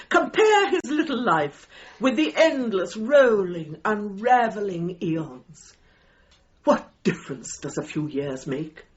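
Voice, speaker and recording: A woman's voice: mid-range voice, lo-fi sound. An actress playing an imperious older female dog trainer, pompous, formal and relentlessly insistent, in a radio comedy drama.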